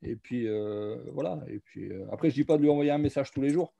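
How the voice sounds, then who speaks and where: Dark-voiced hesitant man. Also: mid-range voice, vocal fry, muffled recording. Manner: hesitant, filling pauses with euh. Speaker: association founder. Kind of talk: casual video call chat.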